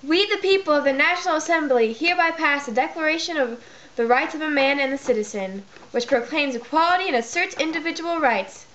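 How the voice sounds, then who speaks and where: A woman plays a bossy, fired-up revolutionary in a homemade puppet show. The voice is pushed high on a dull recording with faint noise. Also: animated, brisk, fairly bright tone. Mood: demanding. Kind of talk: amateur school puppet show acting.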